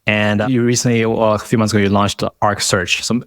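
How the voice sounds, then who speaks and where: A man's voice: fairly low pitch, little pitch movement, fairly quick, a bit bright, dry recording, clean studio sound. A man hosting a casual interview, talking informally and often saying "like" and "man". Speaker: interviewer, podcast host.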